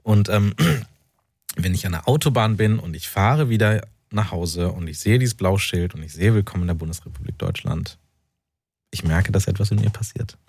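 Man from north rhine-westphalia, radio guest, halting and thoughtful, husky, dry studio. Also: fairly low pitch, darkish tone, no echo. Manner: thoughtful, halting, with many fillers. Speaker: author and journalist.

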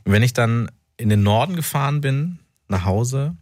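A man from North Rhine-Westphalia, an author and journalist, speaking as a radio interview guest in a thoughtful, halting way with many fillers. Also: mid-range voice, animated, darkish tone, dry recording, clean studio sound.

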